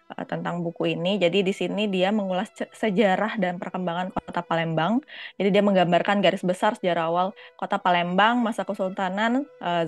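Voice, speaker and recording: A woman lecturer with a cold and a stuffy nose, giving an online lecture with many fillers, and clearing her throat often. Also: fairly low pitch.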